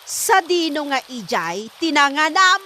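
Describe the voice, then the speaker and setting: A woman's voice: sits high, sing-song, a little slow, sharp tone, slight background noise, exaggerated voice. A woman playing a suspicious, scolding wife in a comedy radio drama, firing questions at her husband. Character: nagging, suspicious wife of a forgetful old man.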